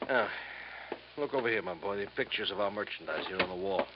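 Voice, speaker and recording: A man's voice: deep voice, a little fast, natural voice. An actor playing a middle-aged, crooked company boss in a radio drama, wheedling and folksy, with salesman patter full of tag questions. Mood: sly, selling. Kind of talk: 1950s radio drama acting. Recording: muffled, hissy 1950s radio recording.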